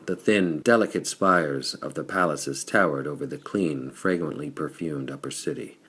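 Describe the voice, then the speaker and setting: Very deep husky man reading pulp fiction. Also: a bit bright. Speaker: audiobook reader. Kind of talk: scripted narration of pulp science fiction.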